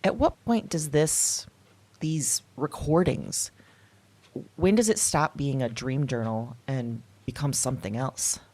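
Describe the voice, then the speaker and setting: Low-voiced, anxious actress as a woman journaling at night. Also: a little mellow, exaggerated voice. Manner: hesitant, confiding, halting self-talk. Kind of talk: intimate audio drama monologue. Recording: music under the voice. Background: music.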